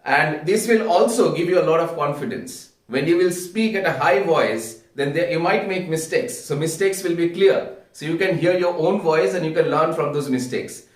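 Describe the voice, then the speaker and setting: A man with an Indian accent giving an instructional talk as a public speaking coach, earnest and deliberate, repeating phrases for emphasis. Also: on the high side. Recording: echoey room, distant microphone.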